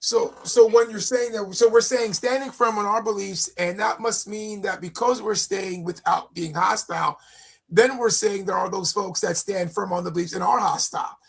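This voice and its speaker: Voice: medium pitch, fairly even intonation, normal speed, vocal fry, slightly rough. A co-host on a faith podcast who steers the discussion and asks pointed questions.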